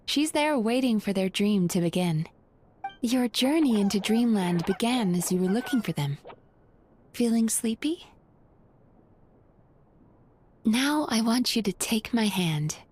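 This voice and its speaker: An actress playing a gentle, playful young dream therapist in a video game, speaking in a soft, breathy, coaxing and reassuring way. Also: female voice, fairly high pitch. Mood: warm, inviting. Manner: coaxing, unhurried, calmly reassuring. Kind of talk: soft, soothing guided-hypnosis game dialogue.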